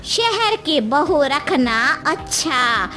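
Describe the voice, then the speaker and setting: A woman's voice: high-pitched, very animated, very slow, a bit bright, soft background music, exaggerated voice. A woman voicing a scornful, gossiping village woman in a cartoon story, with an exaggerated sneering drawl.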